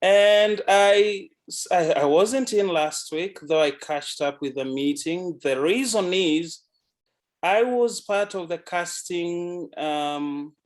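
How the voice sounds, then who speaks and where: A man's voice: sits high, slow. A man with a Kenyan accent, a TV anchor and producer, introducing himself casually on a video call.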